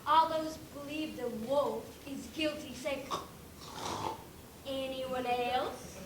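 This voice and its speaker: A woman's voice: high voice, steady tone, slow. A female amateur actor playing a formal, orderly pig who leads a jury as foreperson in a stage play. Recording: echoey noisy room, distant mic.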